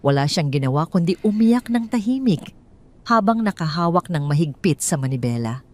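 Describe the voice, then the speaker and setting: A woman's voice: lowish voice, lively intonation, moderate pace, a little mellow. A woman with a Filipino accent narrating a scripted dramatic audio story as a storyteller.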